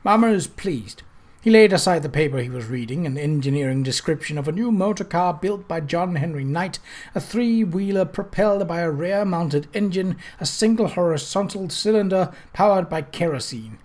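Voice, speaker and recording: A man's voice: fairly high pitch, moderate pace, a little mellow. A man reading a period novel aloud as dramatic scripted narration. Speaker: audiobook reader.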